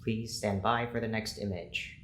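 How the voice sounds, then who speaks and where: A strict male scientist in an audio drama, supervising with clipped formality. His voice sits fairly low in a very roomy space, with a faint layer of noise. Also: steady tone, a little slow, a bit bright. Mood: controlled, insistent. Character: stern, formal male scientist running an experiment. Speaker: experiment proctor.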